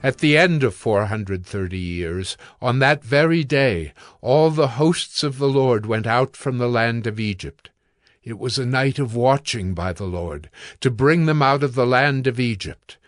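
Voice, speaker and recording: A man narrating dramatized scripture in a solemn, measured storytelling manner. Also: medium pitch, expressive, no echo, studio quality.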